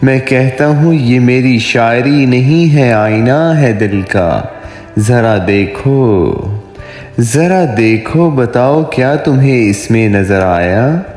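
A man in his thirties reciting romantic poetry in a measured, expressive way, lingering on his phrases. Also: mid-range voice, roomy sound, in a large hall. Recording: faint music behind the voice.

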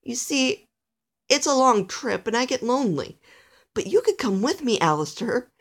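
A woman voicing a kindly old male elf in a Christmas storybook, with a hearty, gently coaxing manner. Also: fairly high pitch, sing-song, normal speed, voice pushed up. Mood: warm, inviting.

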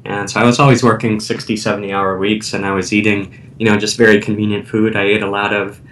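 A man's voice: flat delivery, quick pace, fairly bright tone. A man who is an author and guest, telling his personal story in a hesitant way with many fillers. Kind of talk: interview storytelling. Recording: distant mic with faint rumble.

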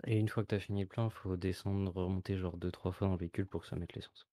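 A man's voice: sits low, level tone, fast talker, dark tone. A man chatting casually with others over gaming voice chat. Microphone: close, dry voice-chat mic.

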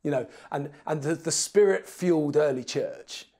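A man's voice: a little high, moderate pace, warm tone. An English man in his forties speaking earnestly and emphatically as an interview guest, firing off rhetorical questions, on a lapel mic. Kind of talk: animated interview talk. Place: small indoor studio room.

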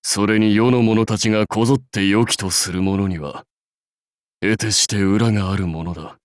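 An actor playing a proud, seasoned samurai warlord in a game, speaking in stately, measured, archaic phrases with wry authority. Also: male voice, fairly low pitch, steady tone, dry recording, clean studio sound, natural voice. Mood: grave, advising. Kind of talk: scripted game character lines.

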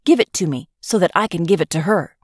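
A woman voicing a haughty, bossy lady-in-waiting in a fairy tale, brisk and imperious. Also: on the low side, animated, a little mellow, slightly rough, dry recording, studio quality. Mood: scornful. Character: haughty, scornful young lady-in-waiting, bossy royal servant.

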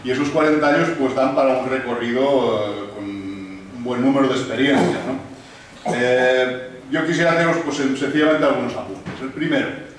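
A man in his fifties, a Catalan native, giving a measured talk as a guest speaker at a panel table, with many pauses and restarts. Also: mid-range voice, a little hiss or hum. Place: room with large windows, panel table. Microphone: desk mic on stand, filmed from across room. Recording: echoey room from a distance.

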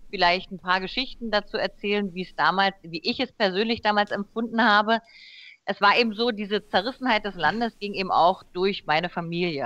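A woman's voice: medium pitch, a bit bright, dry recording. Reflective woman from Berlin on a call. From Berlin, Germany. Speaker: guest. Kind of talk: personal storytelling in a live stream chat. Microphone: headset on a video call. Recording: dull, dry lo-fi call audio.

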